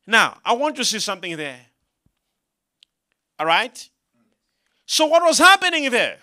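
A man preaching a sermon, asking the congregation to answer back and rising into shouted passages. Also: extremely high pitch, very animated, a little slow. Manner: call-and-response, rising to shouts.

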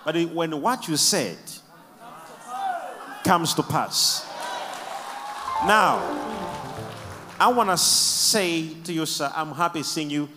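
A man leading a church service, preaching emphatically and shouting blessings between quieter questions to the people testifying. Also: a little high, expressive, slow, long pauses, long reverb tail, music in the background.

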